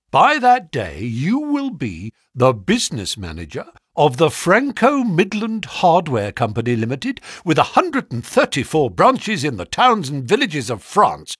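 A man voicing a brisk, flattering financial agent in a story, speaking sharply with grand, pushy salesmanship. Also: a little high, husky, dry recording, clean studio sound, full character voice. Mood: salesmanlike, grandiose. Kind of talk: audiobook character dialogue.

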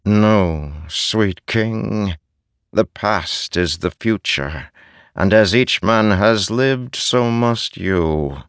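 A deep, haunting spirit of solemn wisdom, played by a male narrator, from a fantasy audiobook. It speaks very slowly and deliberately, weighing each phrase in a close, dry sound. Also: a bit bright, clean tone, no echo, exaggerated voice. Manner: slow, deliberate, measured pronouncements.

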